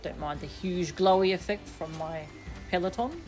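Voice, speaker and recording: A woman's voice: lowish voice, moderate pace, a little mellow, soft background music. A woman casually commentating on a game livestream, playful, with muttered asides and little sing-song sounds. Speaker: game streamer. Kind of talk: gaming livestream, casual commentary, reading on-screen text aloud.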